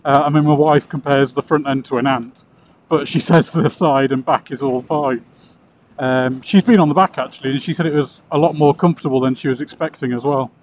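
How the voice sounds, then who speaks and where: A man's voice: medium pitch, fairly even intonation, a little fast. A man chatting casually and enthusiastically as he reviews motorcycles while riding, speaking into a helmet intercom. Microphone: helmet intercom camera mic. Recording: muffled helmet intercom with road noise.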